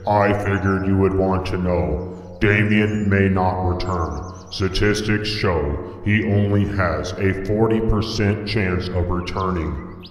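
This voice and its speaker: A man voicing a polite, literal-minded robot assistant with a formal, deliberate manner in a scripted game roleplay. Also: low-pitched, steady tone. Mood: matter-of-fact warning. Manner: formal, deliberate, matter-of-fact. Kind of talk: scripted Minecraft roleplay acting. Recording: echoey, long reverb, music behind.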